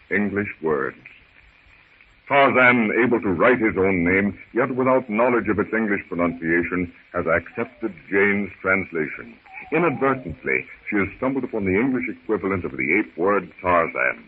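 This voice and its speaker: A man narrating a 1930s radio adventure serial in a dramatic, measured storytelling manner. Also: low voice, a little hiss or hum. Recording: very muffled 1930s radio recording.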